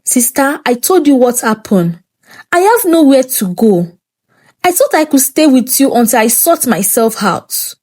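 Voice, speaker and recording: An earnest, wounded younger sister in a folktale, played by a woman narrator with a Nigerian accent. She pleads plaintively in a fairly high, slow voice that rises and falls a lot. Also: sing-song, darkish tone, heavily acted. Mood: pleading, hurt.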